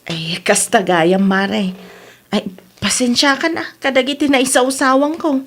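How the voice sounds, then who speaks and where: A woman playing a grumbling, high-voiced Ilocano housewife. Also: a little slow. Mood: grudging. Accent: Filipino, Ilocano. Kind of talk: Ilocano radio comedy drama acting.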